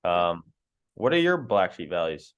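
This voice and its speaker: Low-voiced laid-back male host, muffled. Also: big pitch swings, a little slow, smooth. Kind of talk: casual group conversation.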